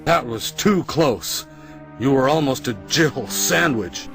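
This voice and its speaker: A man's voice: mid-range voice, expressive, slow. An actor playing an earnest male hero in a horror video game, with stilted delivery. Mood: relieved. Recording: game clip with music behind.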